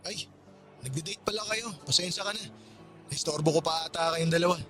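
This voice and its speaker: A man's voice: fairly high pitch, a little fast, sharp tone, soft background music. An actor playing a friendly, polite male friend in a radio drama. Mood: friendly, apologetic. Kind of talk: Tagalog radio drama acting.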